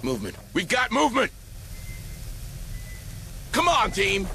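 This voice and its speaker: An actor playing a keyed-up space marine in a video game, giving urgent shouted callouts. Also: male voice, high-pitched, huge pitch swings, measured pace, vocal fry, a little hiss or hum, heavily acted. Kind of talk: video game combat barks.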